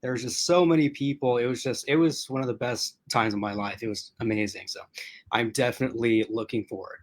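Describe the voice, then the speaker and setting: A man hosting a relaxed livestream, talking straight to his chat viewers in a loose, rambling way. His voice is strained from being used a lot, and he stops often to clear his throat. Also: medium pitch, a little fast. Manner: chatty and rambling, addressing chat commenters. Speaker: host.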